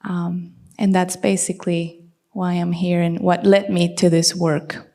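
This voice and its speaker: A woman's voice: lowish voice, flat delivery, a little slow, dark tone. A woman from Rica, telling her own story on a panel in an earnest, reflective way. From Costa Rica.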